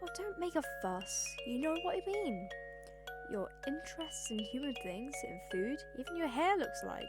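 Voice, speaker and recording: A woman's voice: high-pitched, big pitch swings, moderate pace, over loud music. A woman voicing a prim, patient, motherly female gem mentor in an amateur comic dub. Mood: dismissive, reasoning.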